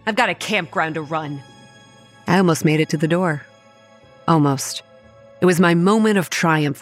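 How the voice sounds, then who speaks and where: A woman acts out a proud young manager who narrates a horror audio drama. Her voice sits low, with soft music beneath it. She is stern and measured, with a combative edge. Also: close to an everyday voice. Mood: defiant. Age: young adult. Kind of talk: first-person dramatic narration with dialogue.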